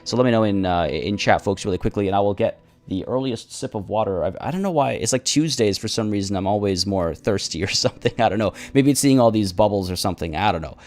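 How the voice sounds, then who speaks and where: Low-voiced chatty game streamer man. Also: expressive, fast talker, few pauses, darkish tone, faint music behind. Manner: rambling, chatty, many restarts. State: slightly rough morning voice. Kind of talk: casual live-stream chat.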